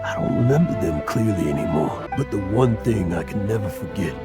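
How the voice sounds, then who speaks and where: An actor playing a weary, guilt-ridden old adventurer with a gravelly voice, dramatic and emotional in an anime game. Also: male voice, deep voice, expressive, brisk. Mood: weary, regretful. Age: older. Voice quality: creaky, gravelly. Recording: game audio with music behind.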